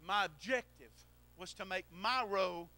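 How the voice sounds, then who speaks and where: A middle-aged man preaching a sermon into a handheld mic in a church, swinging from shouted exhortation to quiet storytelling. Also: high voice, unhurried. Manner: preaches while pacing the platform. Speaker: pastor. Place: church sanctuary.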